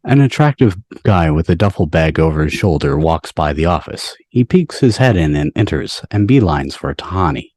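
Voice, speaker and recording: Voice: low-pitched, animated, moderate pace, hardly any pauses, a little mellow, no room sound, clean studio sound. A narrator reading stage directions for an amateur table read on a video call.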